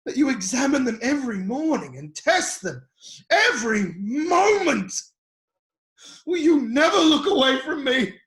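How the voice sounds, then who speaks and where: Casting: actor for anguished, impassioned biblical sufferer, slow and halting delivery, dramatic reading in an echoing room. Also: heavily acted. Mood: anguished protest. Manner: impassioned, halting, swinging from low murmurs to anguished shouts. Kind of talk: epic dramatic Bible reading. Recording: roomy, echoing space.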